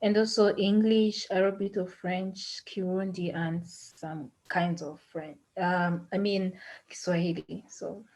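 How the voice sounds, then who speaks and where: A woman's voice: on the low side, fairly even intonation, a little slow, a little mellow, rough recording. A woman whose first language is Kinyarwanda, a student giving brief, friendly answers in an online discussion. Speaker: student participant.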